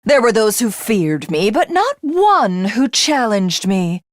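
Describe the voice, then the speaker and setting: Game villainess: proud alien supreme commander, imperious and measured, mid-pitched with animated intonation, unhurried delivery. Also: female voice, no echo, studio quality. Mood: exultant, boastful. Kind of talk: video game character voice acting.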